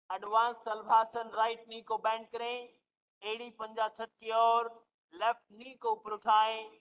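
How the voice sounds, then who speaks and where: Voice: medium pitch, clean tone. A yoga instructor leading an online class with short, commanding cues and counted holds. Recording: muffled lo-fi online call audio.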